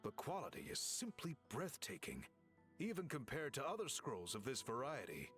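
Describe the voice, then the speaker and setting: Casting: courteous game artisan, mid-pitched man, expressive melody, measured and explanatory. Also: soft background music. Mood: admiring. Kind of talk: video game voice acting.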